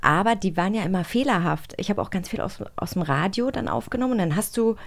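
A woman's voice: medium pitch, expressive, quick pace, vocal fry. A woman, chatting informally as a podcast co-host. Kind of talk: casual chat.

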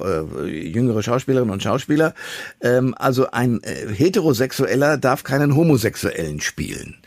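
A man's voice: medium pitch, dry recording. A man hosting a conversational radio interview, feeling his way hesitantly into his questions.

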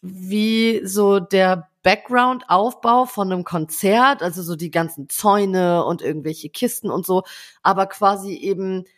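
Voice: mid-range voice, measured pace. Chatty, hedging young podcast co-host. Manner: rambling, hedging, tag questions. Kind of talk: casual chat.

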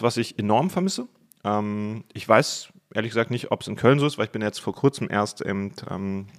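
A man from Berlin, in his thirties, a guest chatting casually and talkatively on a video podcast, laughing easily. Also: lowish voice. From Berlin, Germany. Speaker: guest, cooking YouTuber. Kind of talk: casual podcast chat over food. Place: indoor studio, video podcast.

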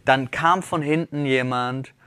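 A man co-hosting a casual podcast chat, telling everyday stories in an animated way that climbs high when he vents. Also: a little slow, clean tone, no room sound. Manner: animated storyteller, rises sharply when venting. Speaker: podcast co-host.

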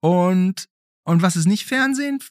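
Man, podcast host, lively swooping intonation, dry close sound, colloquial and questioning. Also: high-pitched, unhurried, no echo. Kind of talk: casual conversational interview.

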